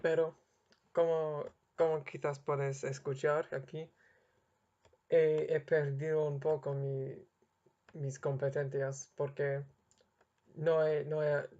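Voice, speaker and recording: Slow, halting learner with a flat voice. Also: mid-range voice, highs cut off. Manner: halting, searching for words in a learned language; apologetic about own mistakes. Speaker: language learner vlogger. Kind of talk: unscripted spoken monologue to camera.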